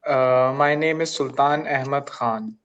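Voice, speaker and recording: Pakistani-accented male teacher, polite video-call chat, middle pitch, halting fillers. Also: lo-fi sound. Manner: polite, steady, frequent "uh" fillers. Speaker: English teacher, channel host. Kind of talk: casual video-call conversation. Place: school, indoors.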